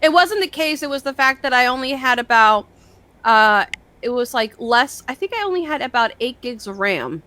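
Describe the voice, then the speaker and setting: A young woman chatting casually, telling stories in a rambling, sweary, excitable way and acting out the dialogue. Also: sits high, normal speed. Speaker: online show host. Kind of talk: casual chat, animated storytelling.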